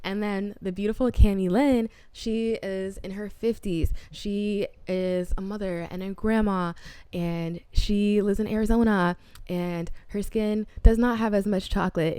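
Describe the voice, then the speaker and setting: A woman's voice: lowish voice, mellow, smooth, no echo. A woman in her twenties hosting her own podcast solo, chatty and upbeat, laughing at herself and using casual slang. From half Puerto Rican. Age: 20s. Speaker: podcast host.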